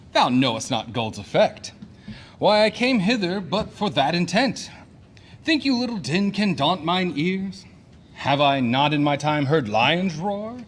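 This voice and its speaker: A man playing a blustering, boastful gentleman fortune-hunter in a declamatory Shakespearean audio play. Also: fairly high pitch, a little hiss or hum. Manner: declamatory, swaggering.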